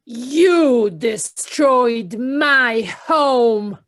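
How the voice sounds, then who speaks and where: A woman's voice: a little high, expressive, drawn-out words, fairly bright tone, heavily acted. A woman playing an earnest, brave little mole in an audio play, sincere and plaintive. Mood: defiant.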